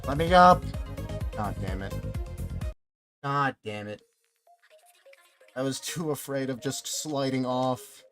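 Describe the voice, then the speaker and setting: A man in his twenties rambling along as he live-streams a game, talking casually and swearing now and then. His voice sits at an ordinary middle pitch, with game music playing clearly behind him. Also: slow pace, pauses often, a bit bright. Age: 20s. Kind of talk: casual livestream chat while playing.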